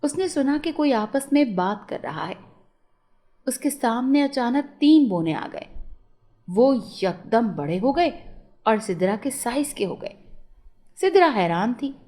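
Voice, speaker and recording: A woman's voice: on the high side, big pitch swings, unhurried, pauses often, warm tone. A woman narrating a scripted moral story for children as a storyteller.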